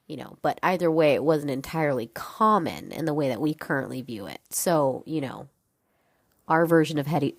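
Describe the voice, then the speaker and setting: A woman's voice: low-pitched, dry recording, studio quality. A young woman hosting a solo podcast, talking in a frank, chatty way with lots of fillers and some swearing, and sounding congested. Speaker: podcast host. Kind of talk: casual solo podcast talk.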